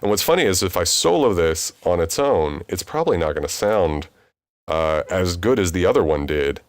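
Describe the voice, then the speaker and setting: A man in his thirties with a deep voice, casually explaining vocal mixing in a home studio tutorial. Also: normal speed, no echo. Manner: relaxed, conversational explaining with fillers. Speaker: music producer teaching mixing. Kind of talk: screen-recorded tutorial.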